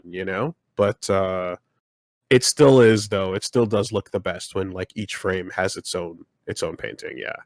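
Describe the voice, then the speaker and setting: A man with a low voice hosts a loose, casual conversation. He rambles and halts, stacking up fillers as he talks. The recording is dry and close-miked. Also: no echo. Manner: rambling, halting, many fillers. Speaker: podcast host. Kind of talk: casual chat.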